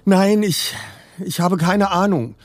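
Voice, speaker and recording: A man's voice: high-pitched, steady tone, slow, no room sound, voice pushed up. A man voicing a shaken young woman in her twenties in an audiobook, startled and earnest. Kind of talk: audiobook character voice.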